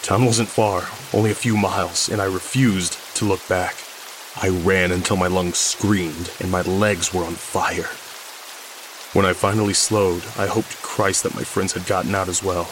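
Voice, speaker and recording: An actor playing a shaken, guilt-ridden survivor, narrating a horror story in the first person with intense, weighted phrasing. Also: male voice, sits low, fairly even intonation, normal speed, background noise, lightly acted. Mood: shaken, haunted. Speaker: audio fiction narrator.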